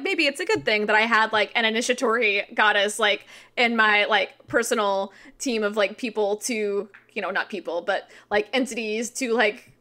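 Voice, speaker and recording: A woman's voice: a little high, bright, forceful tone. A woman of thirty talking as a podcast guest in a casual, informal interview style, explaining things with frequent filler "like".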